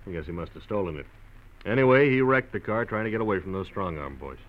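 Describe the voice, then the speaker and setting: A dull old radio recording of an American police desk sergeant with a low voice. He is offhand and matter-of-fact, talking in casual, clipped phrases. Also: animated, fast talker. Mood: offhand, businesslike. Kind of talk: 1940s radio acting.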